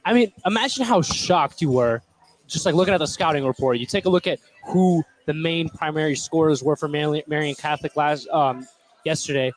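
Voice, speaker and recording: An American man offers basketball analysis as a color commentator, in a voice a little higher than average. His delivery is informal and conversational, like chatting alongside a play-by-play partner. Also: fairly quick, rough recording. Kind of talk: casual live basketball analysis.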